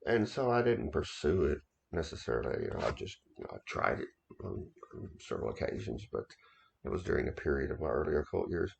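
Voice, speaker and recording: Very deep gravelly man, halting storyteller. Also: very animated, slight background noise. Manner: halting, repeats himself, many restarts and fillers. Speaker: occult author recounting experiences. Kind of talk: casual first-person storytelling.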